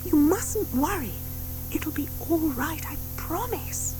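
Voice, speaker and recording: A woman puts on a young witch girl from a children's storybook. She is earnest and anxious, speaking high and urgently with animated, pleading inflections. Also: takes it slowly, over music, rough recording. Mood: soothing.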